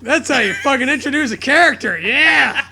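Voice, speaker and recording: A man's voice: extremely high pitch, lively intonation, moderate pace, vocal fry, sharp tone, soft background music. A man giving casual comedic commentary and mock story readings in a mocking, sweary manner. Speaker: podcast co-host.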